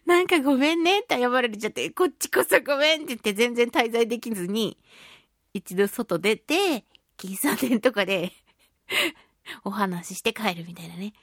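A woman's voice: fairly high pitch, animated, moderate pace, a bit bright, no echo, clean studio sound. A woman hosting a casual solo radio talk, speaking expressively with giggly asides and little sound effects. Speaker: radio show host, voice actress.

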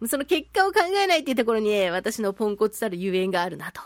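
A woman voice actress hosting a chatty solo radio show, slightly nasal from a mild head cold, with an animated, playful and self-deprecating manner. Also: mid-range voice, racing, dry recording. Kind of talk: chatty solo radio talk with listener mail.

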